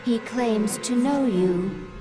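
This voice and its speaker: An actress voicing a dutiful female alien adviser who reports in a formal, even way. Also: a little high, takes it slowly, over music.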